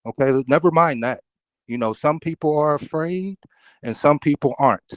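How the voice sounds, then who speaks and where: A man's voice: on the high side, normal speed, dry recording. A man speaking in a live group discussion, preachy and emphatic, often tagging "okay?". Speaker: participant.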